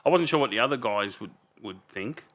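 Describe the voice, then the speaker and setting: A man in his fifties with an Australian accent and a loud voice, telling stories as a podcast guest in a rambling way, trailing off and restarting a lot. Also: mid-range voice, animated, brisk. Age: 50s. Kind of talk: casual storytelling chat.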